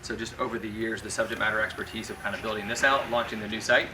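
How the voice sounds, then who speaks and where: A man's voice: lowish voice, level tone, quick pace. An American man guiding a tour group through a company warehouse, introducing staff and summing up. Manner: upbeat, introduces people and sums up. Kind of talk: guided tour talk to a group. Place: large warehouse, indoors. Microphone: far camera held by a tour member. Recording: distant, noisy warehouse recording.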